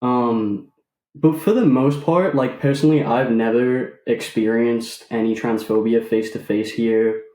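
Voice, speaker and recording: A man in his mid-twenties, originally from Ireland, speaks with an accent that blends Irish and English. He is easygoing and self-deprecating, pausing on hesitant "um"s, and his voice sits in a roomy, echoey space. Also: medium pitch, steady tone, mellow. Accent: mixed Irish and English. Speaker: podcast guest. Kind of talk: casual interview.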